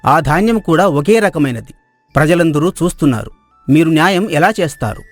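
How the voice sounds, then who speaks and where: A man acts a courtly minister in a moral tale, his voice fairly high and recorded dry. He addresses royalty with official formality. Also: animated, fairly quick, no room sound, faint music behind. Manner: official, orderly.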